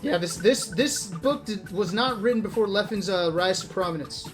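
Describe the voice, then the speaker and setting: Mid-pitched streamer, casual read-aloud, wry asides to viewers, music in the background. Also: normal speed. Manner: wry, addresses viewers directly, breaks off to comment. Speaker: livestreamer reading a book aloud with commentary. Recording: music playing behind the voice.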